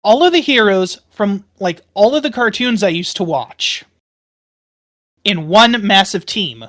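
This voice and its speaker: A woman playing a tabletop game, talking casually and haltingly, repeating phrases for emphasis. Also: mid-range voice, animated, a bit bright.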